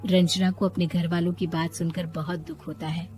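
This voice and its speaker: A woman's voice: lowish voice, little pitch movement, moderate pace, warm tone, faint music behind. A woman telling a scripted moral story as its narrator.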